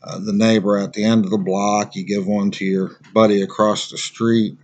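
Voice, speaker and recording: A man giving a casual product review in a plain-spoken, halting, conversational way. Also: on the low side, level tone, highs cut off.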